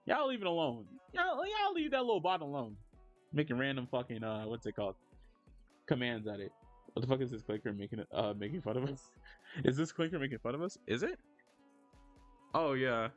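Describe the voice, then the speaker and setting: A man's voice: a little fast, long pauses. A man in his thirties chats with his stream audience while he draws, rambling and filling his sentences with "like." His voice leaps upward when he reacts to the chat. The audio is a bit dull, with faint music playing underneath. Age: 30s. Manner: rambling, many "like" fillers, lively jumps in pitch when reacting to chat. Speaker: streamer and digital artist. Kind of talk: casual livestream chat while drawing.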